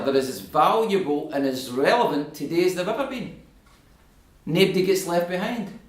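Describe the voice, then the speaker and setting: A Scottish man in his fifties giving a passionate political talk to a local audience in a community hall, building to emphatic oratory. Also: a little high. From Scotland. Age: 50s. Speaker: guest speaker. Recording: echoey room.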